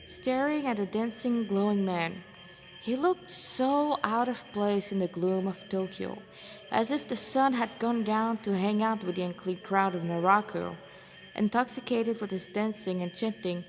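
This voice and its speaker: A woman's voice: mid-range voice, big-room sound, rough recording. A woman reading a story aloud as narrator, an amateur who stumbles over phrases and repeats them. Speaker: amateur fan fiction author reading aloud.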